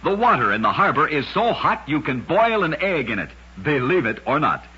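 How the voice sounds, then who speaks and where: A dull, vintage radio recording of a man narrating strange tales. His voice is markedly rough and forceful. He delivers each scripted line with a dramatic storyteller's emphasis. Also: a little high, very animated, normal speed. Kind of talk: scripted old-time radio oddity narration.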